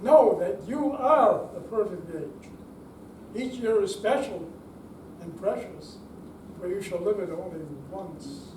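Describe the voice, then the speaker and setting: A man's voice: sits high, animated, slow pace, a little mellow. An older man reading inspirational text aloud on stage. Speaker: amateur readers theater performer. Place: senior center room, live reading. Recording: echoey room, distant mic, clear noise.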